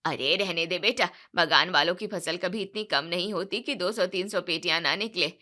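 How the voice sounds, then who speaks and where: A woman voicing a status-minded middle-aged mother-in-law who talks loudly and scornfully. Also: on the low side, moderate pace, pitched below the performer's own voice. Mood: taunting. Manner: loud, scornful, taunting.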